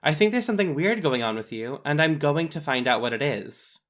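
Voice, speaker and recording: A man's voice: a little high, lively intonation, brisk, fairly bright tone. A man playing a smug, dismissive superhero diva in a musical audio drama. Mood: suspicious.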